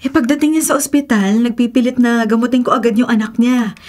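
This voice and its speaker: An actress playing a weary, sympathetic doctor at a public hospital in a Philippine radio drama. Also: female voice, fairly high pitch, steady tone, a little fast, a little mellow, lightly acted. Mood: troubled.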